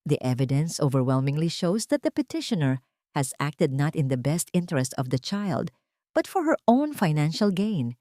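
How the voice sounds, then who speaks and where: A woman's voice: deep voice, sing-song, moderate pace, mellow, no room sound, studio quality. A woman voicing a stern, impartial judge who formally pronounces rulings in an audio story.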